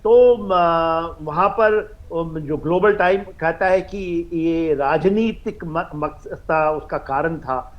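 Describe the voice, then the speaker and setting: An Indian man giving expert commentary on a news panel, halting and repeating himself, mixing in English phrases. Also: fairly high pitch, lo-fi sound. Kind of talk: live news panel analysis.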